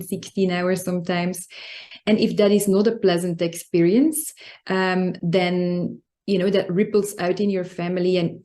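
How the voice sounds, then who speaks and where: A woman from Belgium, speaking as a language, gives an informal talk in an earnest, explanatory way with frequent pauses. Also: medium pitch, mellow. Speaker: guest speaker, coach and club secretary. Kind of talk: informal prepared talk on workplace values.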